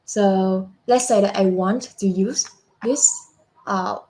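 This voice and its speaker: A young adult woman walking through a software demo step by step, unhurried, with frequent fillers and self-corrections. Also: mid-range voice, poor audio. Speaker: software product presenter. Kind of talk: screen-share software demo walkthrough.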